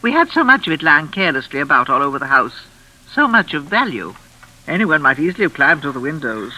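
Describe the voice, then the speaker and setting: An actress playing an older widow in a vintage radio thriller, genteel and coaxing on the surface, controlling and unhinged underneath. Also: female voice, low-pitched, sing-song, a little fast, sharp tone, exaggerated voice. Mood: smoothly evasive. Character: sinister, falsely kind older widow, possessive and unhinged. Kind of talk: old-time radio thriller acting. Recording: noisy vintage radio recording.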